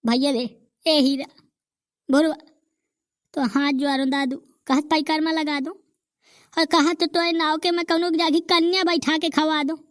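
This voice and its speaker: A boastful old village grandfather, voiced high and bright by a woman, ranting in a thick Bundelkhandi accent. He brags and grumbles in rustic dialect. The recording is close and dry, with no room sound. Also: fairly even intonation, a little slow, pauses often, no echo. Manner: bragging, rustic dialect rants. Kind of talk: cartoon comedy voice acting.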